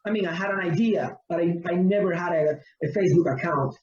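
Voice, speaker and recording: A man's voice: high voice, a little fast, darkish tone, recorded from a distance, highs cut off, slight background noise. Earnest Cuban man on a roomy call. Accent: Cuban Spanish. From Cuba. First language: Spanish. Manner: earnest, careful, searching for words. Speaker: pastor and guest. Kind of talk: interview answer.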